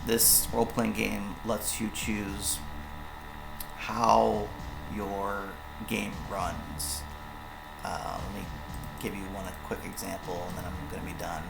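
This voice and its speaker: A man explaining the combat rules of his own tabletop role-playing game on a stream, rambling through quick examples with many fillers, his voice hoarse and fading. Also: lowish voice, little pitch movement, roomy sound, noisy background. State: hoarse, voice going.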